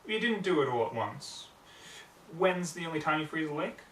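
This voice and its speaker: A serious, patient lady unicorn teacher from a storybook as a man acts it out. The delivery is measured and coaxing, set back from the mic in a roomy space with faint noise. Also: on the high side, big pitch swings, a bit bright, distant mic, voice pushed up. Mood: explaining.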